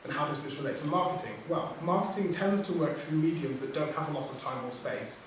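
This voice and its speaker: A man's voice: a little high, a little hiss or hum. Man reading a talk in a far, echoey hall. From Nigerian heritage, raised outside Nigeria. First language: English. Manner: measured, with small self-corrections. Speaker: panel speaker, poet. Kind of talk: reading a prepared talk at a writers' panel. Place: theatre hall.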